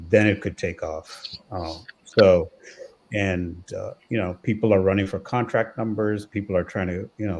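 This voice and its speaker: Very low-voiced man on a live stream. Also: darkish tone. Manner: loose, rambling with fillers. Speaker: project team member.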